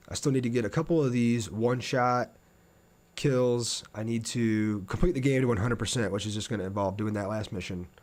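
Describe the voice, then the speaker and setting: Chatty, laid-back male game streamer. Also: lowish voice. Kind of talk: casual livestream chat over gameplay.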